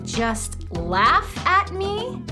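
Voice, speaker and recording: A woman's voice: extremely high pitch, very animated, drawn-out words, music in the background, heavily acted. A woman playing a grumpy, vain young alien girl in a children's audio drama, whiny and boastful. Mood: indignant, sulky.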